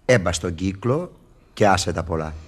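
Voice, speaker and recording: An actor in a radio drama playing a sly, mocking devil who wheedles and teases in a declamatory way. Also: male voice, deep voice, expressive, a little hiss or hum. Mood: amused. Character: sly, mocking devil tempter and fixer, male. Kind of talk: theatrical radio drama acting.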